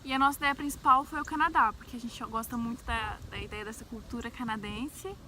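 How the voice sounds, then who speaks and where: A woman's voice: fairly high pitch, fairly even intonation, a little fast, pushed and bright. A young adult woman with a central-west Brazilian accent, telling personal stories in a casual, chatty vlog style, often checking in with little agreement tags. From Brazil, grew up in Brasília. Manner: informal, peppers speech with tags seeking agreement. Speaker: travel vlogger. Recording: faint background noise.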